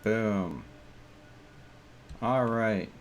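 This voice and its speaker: A man's voice: lowish voice, extremely slow. Man streaming a game over background noise. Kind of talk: casual live gameplay commentary.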